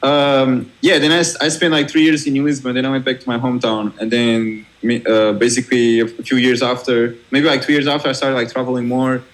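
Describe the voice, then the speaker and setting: A man's voice: mid-range voice, flat delivery, low-quality recording. A young man from Portugal with a Portuguese accent talks as an interview guest. He is a DJ who restarts and repeats his words often, laughs easily, and keeps a casual tone. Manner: halting, many restarts and fillers, laughs easily. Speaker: guest DJ and music producer.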